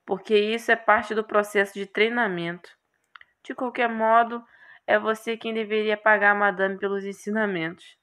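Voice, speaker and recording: A woman voicing a calm, literal-minded horned male magician in a game, speaking in a dry, measured way. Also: mid-range voice, lightly acted. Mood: instructive.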